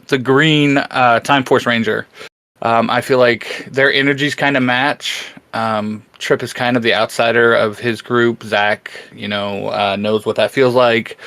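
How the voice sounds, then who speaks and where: A man's voice: mid-range voice, fairly bright tone, dry recording. Casual man co-host, dry close sound. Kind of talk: casual unscripted chat.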